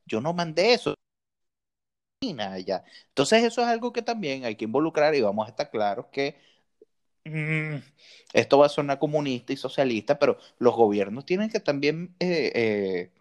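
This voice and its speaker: A man's voice: mid-range voice, very animated, moderate pace. A man with a Venezuelan accent co-hosting a casual podcast, speaking emphatically and often repeating words and rhetorical questions. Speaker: podcast co-host. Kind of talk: casual opinionated podcast chat.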